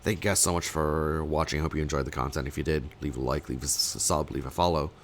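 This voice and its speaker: A young man, slightly sick and losing his voice, casting a card game tournament alone in rambling play-by-play full of fillers, with sudden bursts of excitement. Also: extremely low pitch, quick pace, a little hiss or hum. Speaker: tournament caster. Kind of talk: solo play-by-play commentary.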